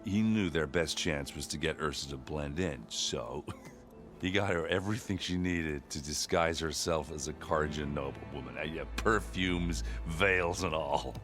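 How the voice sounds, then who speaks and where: Deep, hearty warrior storyteller. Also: male voice, measured pace, over music. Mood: relaxed, wry. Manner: folksy, chuckling storytelling. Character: hearty, boisterous warrior man, fond and bashful storyteller. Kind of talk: video game cutscene acting.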